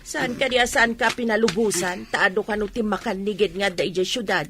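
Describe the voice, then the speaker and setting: A woman's voice: mid-range voice, fairly quick, a bit bright, breathy edge, soft background music. A woman playing a worried, scolding middle-aged rural mother in a radio drama, with an Ilocano accent and an admonishing manner. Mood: reproachful. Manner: admonishing, emphatic.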